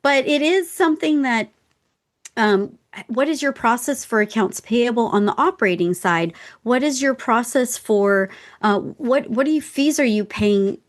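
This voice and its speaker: A woman's voice: a little high, measured pace. A woman co-hosting a podcast, explaining in casual chat with frequent restarts. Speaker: podcast co-host, accountant.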